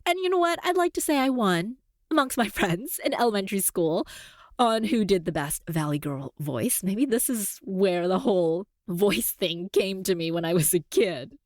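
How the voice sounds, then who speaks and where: A woman in her forties, a native Cantonese speaker, hosting a podcast in an upbeat, clear and deliberate scripted monologue. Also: mid-range voice, lively intonation, dry recording, studio quality. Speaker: podcast host.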